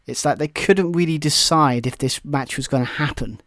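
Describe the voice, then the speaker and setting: A man's voice: fairly high pitch, moderate pace, a bit bright, no echo, studio quality. A man from Britain co-hosting a wrestling podcast, talking casually in rambling, self-correcting, opinionated stretches. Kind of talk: casual chat.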